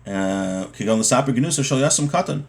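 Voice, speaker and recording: A man's voice: mid-range voice, animated, brisk, creaky. A man giving an informal religious lesson, reading from a text and explaining it point by point, often rephrasing as he goes. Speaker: religious teacher.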